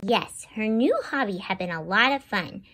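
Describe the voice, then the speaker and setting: A woman's voice: medium pitch, moderate pace. A woman host reading a picture book aloud to children and talking straight to her young listeners. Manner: animated, talks directly to young listeners. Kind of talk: read-aloud storytelling for children.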